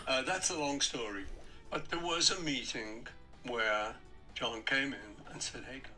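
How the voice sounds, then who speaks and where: An older man with a Liverpool accent telling a story in an interview clip, relaxed. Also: medium pitch, a little slow, faint music behind, rough recording.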